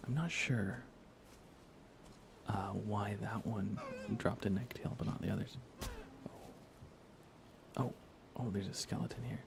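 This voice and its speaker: A man speaking in a soft, breathy whisper, giving unhurried, gently playful ASMR commentary while he plays a video game for viewers. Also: lowish voice, steady tone, noisy background. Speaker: livestreamer playing a video game.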